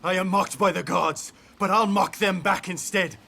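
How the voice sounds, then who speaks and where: Defiant young warrior prince in an epic game: very high, strained, forceful, impassioned declaiming. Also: male voice, fairly even intonation, moderate pace, vocal fry, slight background noise, exaggerated voice. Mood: furious, defiant.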